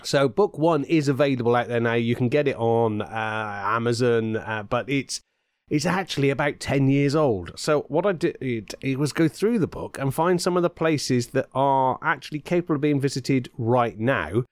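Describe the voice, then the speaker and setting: A man hosts a podcast in a chatty, rambling manner, tossing in the odd filler. His voice sits at a middle pitch. The sound is dry and close, with no room echo. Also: moderate pace. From Britain. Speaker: podcast host and author. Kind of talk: casual podcast chat.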